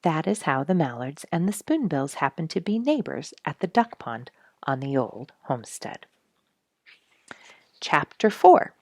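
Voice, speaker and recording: A woman reading a children's story aloud as narrator, with expressive storybook phrasing. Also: low-pitched, measured pace, no echo, studio quality.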